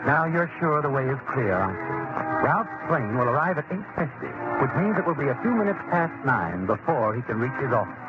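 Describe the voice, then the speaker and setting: A man acting as an all-knowing suspense narrator in an old-time radio drama, insinuating and deliberate as he needles a guilty woman. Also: medium pitch, sing-song, loud music behind the voice, lightly acted. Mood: knowing, taunting. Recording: noisy, muffled vintage radio broadcast recording.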